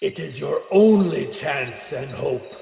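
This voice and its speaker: A man's voice: fairly high pitch, expressive, slow pace, creaky, darkish tone, full character voice. A man playing the mournful, remorseful ghost of a businessman in a radio play, speaking in a solemn, declamatory manner. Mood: solemn warning. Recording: long echoing reverb, music underneath.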